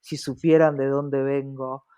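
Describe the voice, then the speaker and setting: A man's voice: mid-range voice, level tone, measured pace, warm tone, clean tone. A man with an Argentine accent talking reflectively in a conversational interview, often checking in with short tag questions.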